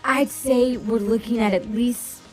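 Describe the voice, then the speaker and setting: A woman's voice: on the high side, a little slow, over music, lightly acted, rough recording. A woman acting a brave, wry teenage hero captain in a superhero audio drama. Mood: wry, determined. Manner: resolute, wry. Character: brave determined teenage heroine, team captain.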